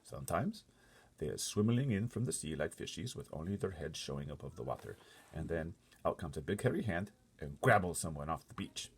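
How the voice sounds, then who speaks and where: Man voicing a big earnest giant, husky and deep. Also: big pitch swings, a little fast, full character voice. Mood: earnest, reassuring. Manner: muddled grammar and made-up words, emphatic. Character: big gentle kindly giant, earnest, folksy and mangled grammar. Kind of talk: storybook character voice.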